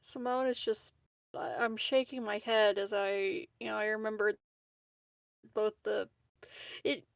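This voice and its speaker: A woman's voice: mid-range voice, level tone, measured pace, gravelly, long pauses, dry recording. A woman chatting casually as a player in a tabletop roleplaying game.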